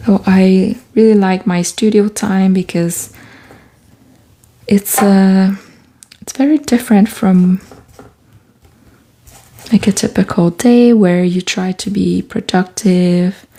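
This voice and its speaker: Soft, slow-talking woman. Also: medium pitch, steady tone. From Quebec, Canada. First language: French. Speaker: artist and author vlogger. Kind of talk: casual talk while doing collage. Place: small home studio room.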